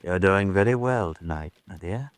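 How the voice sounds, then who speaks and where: Actor as genial, formal low-voiced family father. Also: no echo, natural voice. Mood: hospitable. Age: middle-aged. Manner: formal, hospitable, measured. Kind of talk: old-time radio drama acting.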